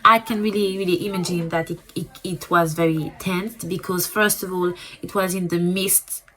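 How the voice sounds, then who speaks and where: A woman with a French accent giving a casual, rambling book review as a booktuber, repeating words and filling pauses with ums. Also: lowish voice, steady tone, a bit bright.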